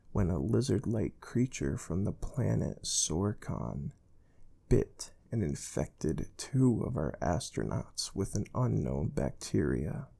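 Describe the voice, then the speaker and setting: Man playing a slow, soft, mellow space-agency interviewer. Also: lowish voice, gravelly, full character voice. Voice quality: soft-spoken. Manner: measured, deliberate, formal. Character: calm, stern male space research job interviewer. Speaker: ASMR role-play performer. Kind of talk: soft-spoken ASMR role play.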